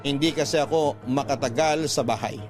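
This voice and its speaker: A man playing a skeptical letter sender, narrating his confession in a measured radio-drama storytelling style. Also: medium pitch, steady tone, natural voice. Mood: reflective. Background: music.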